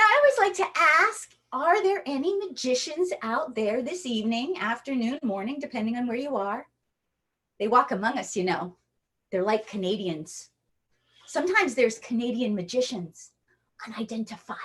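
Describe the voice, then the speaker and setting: A woman's voice: high-pitched, fairly bright tone. A woman from Hawaii performing as a magician for an online show, with playful, jokey stage patter and a rhyming story.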